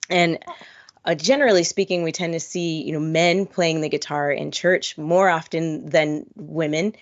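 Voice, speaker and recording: A woman's voice: low-pitched, fairly even intonation, moderate pace, highs cut off. A woman hosting a podcast, asking casual interview questions and giving encouraging responses. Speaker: podcast host.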